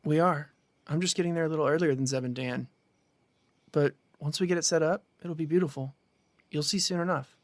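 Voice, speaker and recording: A man's voice: fairly high pitch, brisk, dry recording, natural voice. A young man acting as an easygoing, casual friend in a horror audio drama. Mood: warm, sleepy. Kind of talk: naturalistic audio drama dialogue.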